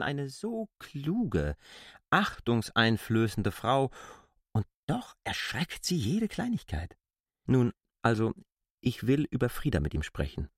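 An actor playing a stubborn, self-assured outsider in a radio drama, reasoning articulately and insistently. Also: male voice, mid-range voice, no room sound, studio quality. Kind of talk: literary radio drama acting.

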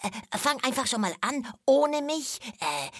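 Children's podcast hyena: husky and piercing, silly show-off, giggly, stammering, very animated. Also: female voice, sits high, unhurried, dry recording, clean studio sound, heavily acted. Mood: flustered. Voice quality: raspy. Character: silly, boastful joke-telling cartoon hyena with a big giggle. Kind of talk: children's podcast character acting.

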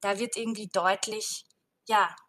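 A woman speaking as a jury member, giving earnest, considered commentary in deliberate phrases. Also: medium pitch, steady tone, sharp tone.

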